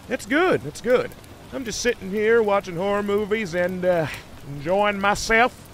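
A man voicing a lovestruck, bashful cartoon beaver who sweet-talks haltingly in a fan fiction reading. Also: high-pitched, lively intonation, fairly bright tone, slight background noise. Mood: awkward, friendly. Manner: halting, stammering, sweet-talking.

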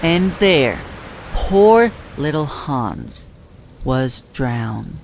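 A man playing a mild songbird who narrates a storybook tale in a measured, gentle way. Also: mid-range voice, vocal fry, slight background noise.

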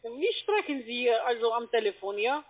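A woman, speaking in a halting way as a telephone sales caller. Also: a little high, very animated. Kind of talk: phone sales talk. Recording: muffled narrowband phone line.

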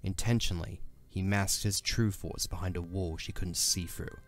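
A man giving a measured, serious narration of a scripted dramatic story. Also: low voice, fairly even intonation, soft background music.

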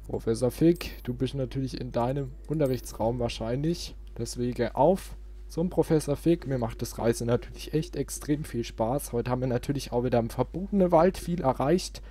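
A man's voice: mid-range voice, mellow, husky. A man chatting informally as he hosts a let's play, thinking aloud while he plays. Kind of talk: casual gaming commentary.

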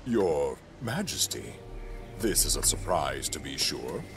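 An actor playing a gruff, proud imperial governor in a science-fiction audio drama, with a formal military way of talking. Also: male voice, rumbling low, very animated, takes it slowly, noisy background, full character voice. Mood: wary, deferential.

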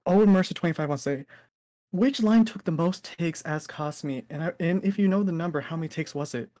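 A woman co-hosting a convention panel, asking quick, eager questions. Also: lowish voice, dark tone, no room sound. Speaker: panel co-host.

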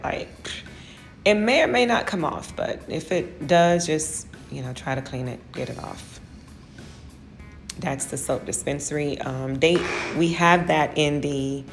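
A woman's voice: low-pitched, gravelly. A woman giving a casual, rambling walkthrough of how she cleans her salon, often losing her train of thought.

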